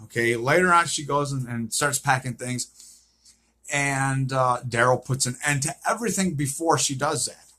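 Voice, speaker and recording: A man's voice: mid-range voice, normal speed, pushed and bright. A man giving an unscripted, casual recap of a TV series, repeating himself and often checking in with a questioning okay. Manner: repetitive, checks in with frequent "okay?".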